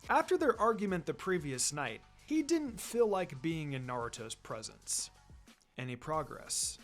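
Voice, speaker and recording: A man's voice: on the high side, very animated, moderate pace. A man narrating a story as a reader of fan fiction. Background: background music.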